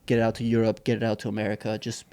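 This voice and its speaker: A man's voice: on the low side, racing, smooth. A young man from Saipan, a business owner, telling his story in a casual interview with loose, rambling talk full of fillers. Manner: relaxed, rambling, many fillers and restarts. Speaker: entrepreneur guest.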